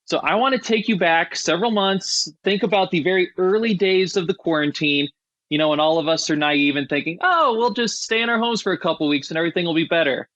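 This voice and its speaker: A man's voice: sits high, a little fast, fairly bright tone, no echo, muffled recording. A man hosting a podcast in a casual, chatty and enthusiastic way. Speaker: podcast host.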